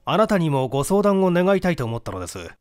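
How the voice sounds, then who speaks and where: Casting: male reader as polite, methodical detective for mystery audiobook; higher-pitched male voice, animated intonation, dry close sound. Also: clear, resonant tone, no echo. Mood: serious, explanatory. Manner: polite, measured, explanatory. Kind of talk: dramatic audiobook reading of a mystery.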